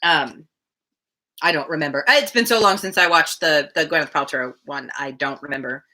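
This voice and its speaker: A woman's voice: low voice, moderate pace, bright, forceful tone. A woman reading a classic novel aloud as the host of a live reading, at times speaking casually. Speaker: reader hosting a daily live book reading.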